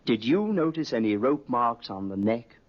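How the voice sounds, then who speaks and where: An actor playing an earnest, doubting male investigator in an old radio drama, serious and quietly insistent. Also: medium pitch, lively intonation, normal speed, close to an everyday voice. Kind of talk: 1950s radio drama acting. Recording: dull vintage radio recording.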